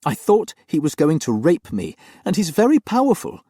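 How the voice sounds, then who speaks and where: A man's voice: on the high side, huge pitch swings, moderate pace, mellow, slightly rough, dry recording, clean studio sound, pitched above the performer's own voice, heavily acted. A man voicing a frightened young woman nanny, pleading earnestly through tears.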